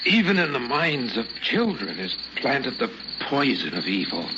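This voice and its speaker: Old desert prophet, noisy radio drama. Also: male voice, mid-range voice, very animated, unhurried, lo-fi sound. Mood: fervent, solemn. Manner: biblical, preacherly, solemn phrasing. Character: wild-bearded old religious zealot, gentle but fervent prophet.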